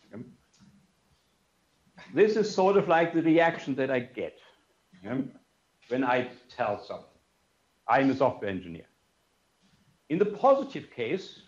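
An older man from Germany with a German accent, giving a memorial lecture to an audience in a conference room, telling personal stories in a conversational way, full of "you know" and "yeah". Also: mid-range voice. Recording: roomy, slightly dull lecture room sound.

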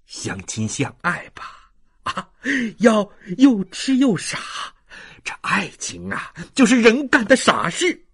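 A man's voice: high-pitched, huge pitch swings, takes it slowly, fairly bright tone, husky, dry recording, studio quality, voice pushed up, heavily acted. A gushing old grandfather, swooping wildly. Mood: gushing, delighted. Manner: effusive, dramatic sighs and exclamations. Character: doting, gushing, excitable old French grandfather.

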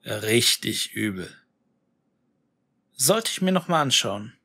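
A man narrating a scripted horror monologue in a musing, deliberate, brooding manner with dramatic pauses. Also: medium pitch, very animated, creaky, pushed and bright, husky. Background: music.

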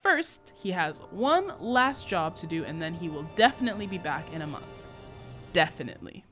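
A young woman, a book recap vlogger, narrating casually with wry, sarcastic asides. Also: mid-range voice.

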